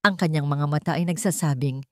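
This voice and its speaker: A woman reading a scripted dramatic story as narrator, with measured, deliberate phrasing. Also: deep voice, steady tone, a little mellow, no echo, studio quality.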